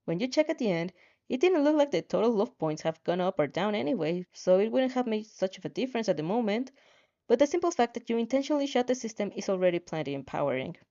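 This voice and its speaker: Mellow woman reading fan fiction aloud. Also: mid-range voice, very animated, fast talker, dull audio. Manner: informal, stumbles over lines. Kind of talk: expressive second-person story narration.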